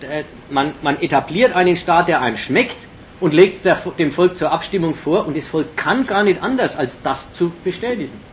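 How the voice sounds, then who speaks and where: Male lecturer, slightly high voice, emphatic and analytical, muffled lo-fi tape with faint hiss. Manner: emphatic, analytical, with many fillers and restarts. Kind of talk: political lecture. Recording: dull lo-fi recording with faint hiss.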